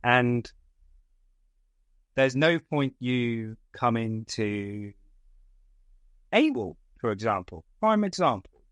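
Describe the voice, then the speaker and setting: A man's voice: medium pitch, animated, slow pace, long pauses, fairly bright tone, smooth, no echo. A man being interviewed as a guest who runs a distribution company, restarting and repeating words as he explains his views. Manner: stammers, repeats words, uses analogies. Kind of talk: interview, conversational.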